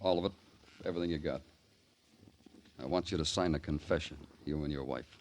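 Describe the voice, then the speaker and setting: Very deep, terse radio detective. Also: male voice, fairly quick, slight background noise. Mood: dry, pressing. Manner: terse, dry, insistent. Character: tough, dogged male New York homicide detective. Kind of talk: 1950s radio crime acting.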